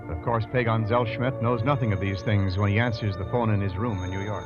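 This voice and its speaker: A man's voice: fairly low pitch, fairly even intonation, fairly quick. A man announcing and narrating a scripted 1940s radio show in a grand, dramatic manner. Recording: dull 1940s radio recording with music. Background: loud music.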